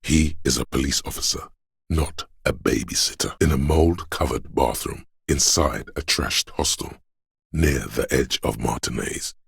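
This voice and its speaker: A man narrating a game script in a measured, grave, literary manner, with a very deep, gravelly, husky voice. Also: dry recording, clean studio sound.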